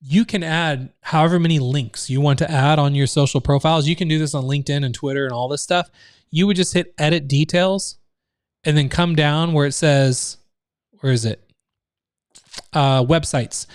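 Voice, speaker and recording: A man's voice: on the high side, normal speed, dry recording, clean studio sound. A man hosting a live online training, explaining steps in a loose, casual way full of fillers and restarts. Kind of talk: live screen-share training.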